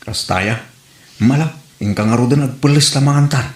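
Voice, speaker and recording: An actor playing a jealous young husband in an audio drama, questioning and grumbling. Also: male voice, mid-range voice, moderate pace, lightly acted. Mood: suspicious. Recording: echoey room recording.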